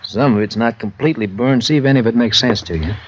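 An American actor playing a plain-spoken, matter-of-fact police lieutenant in a 1950s radio drama. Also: male voice, mid-range voice, quick pace, natural voice. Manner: plain, businesslike. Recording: muffled old radio recording, faint noise.

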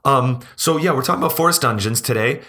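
A man hosting a podcast, talking casually and laughing often, his voice hoarse as if he is losing it. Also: mid-range voice, fast, pushed and bright. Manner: chatty, laughing, rambling restarts. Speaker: podcast host.

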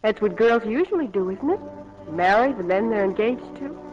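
A woman's voice: mid-range voice, animated, moderate pace, darkish tone, lightly acted. An actress playing an earnest young country woman, shyly in love, in 1940s radio drama style. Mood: wistful. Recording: muffled 1940s radio broadcast, music behind.

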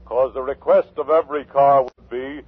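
A man voicing a talking car that recites a rhyming slogan slowly and rhythmically in a 1950s radio commercial. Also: medium pitch, steady tone, slightly rough, dry recording, natural voice. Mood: peppy salesmanship. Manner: slow, rhythmic rhyming delivery. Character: talking car in an advertising jingle. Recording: tinny old radio recording.

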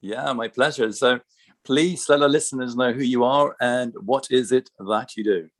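A man's voice: mid-range voice, normal speed. A man hosting a podcast, introducing his guests and asking questions in a conversational way. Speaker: podcast host. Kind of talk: podcast interview.

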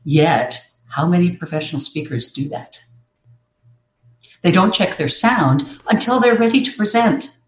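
A speaking coach lays out advice in a measured, explanatory way, pausing now and then to find a word. The voice sits at a middling pitch. The recording carries a noticeable roomy echo. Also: lively intonation. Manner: measured, explanatory, occasional hesitations. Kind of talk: instructive talk on presenting.

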